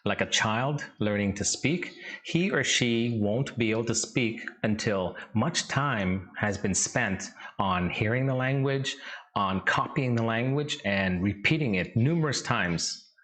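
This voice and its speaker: A middle-aged man from Canada, an English teacher, giving a scripted lesson in a slow, measured, instructive way. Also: mid-range voice, fairly bright tone.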